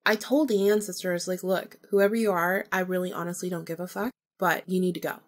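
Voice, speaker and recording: A woman's voice: fairly low pitch, fairly even intonation, brisk. A woman podcast host telling a personal story casually, informally acting out remembered bits of dialogue.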